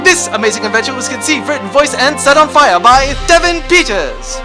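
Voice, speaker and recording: A man's voice: extremely high pitch, animated, brisk, music in the background. A man narrating the scripted closing credits of a comedic audio drama. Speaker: narrator and creator.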